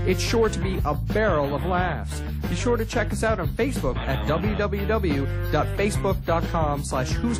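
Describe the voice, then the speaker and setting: An announcer reading a scripted radio promo. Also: mid-range voice. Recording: produced spot over loud guitar music. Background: loud guitar music.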